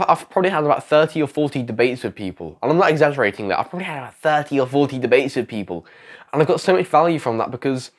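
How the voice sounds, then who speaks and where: A young man talks straight to camera, offering advice to fellow creators. He is sick and his voice is going, and he rambles and repeats himself, restarting sentences as he goes. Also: medium pitch. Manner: rambling, self-correcting, repeats phrases. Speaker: YouTuber giving advice. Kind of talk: casual talking-head advice vlog.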